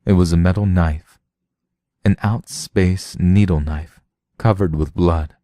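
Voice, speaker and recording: A man narrates a science fiction story in a low, dark voice. He reads slowly and deliberately, and the sound is close and dry. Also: fairly even intonation, dry recording. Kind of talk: scripted narration, audiobook reading of a sci-fi story.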